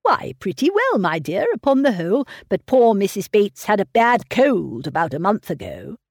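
A woman reading aloud voices a fussy, anxious elderly gentleman fretting over his grown daughter. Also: medium pitch, big pitch swings, normal speed, no echo, clean studio sound. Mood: fretful. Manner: fretful, coddling. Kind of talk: audiobook character reading.

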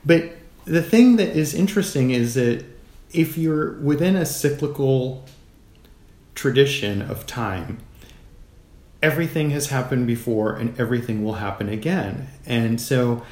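A middle-aged man speaking as a guest in a reflective literary discussion, in a measured, philosophical manner. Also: mid-range voice, a little mellow. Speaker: guest, writer. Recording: roomy echo.

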